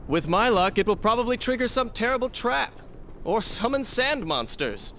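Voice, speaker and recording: An actor playing a proud, sardonic young prince bent on revenge in a video game cutscene. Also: male voice, very high-pitched, big pitch swings, moderate pace, fairly bright tone, a little hiss or hum, full character voice. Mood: sarcastic. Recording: music behind the voice.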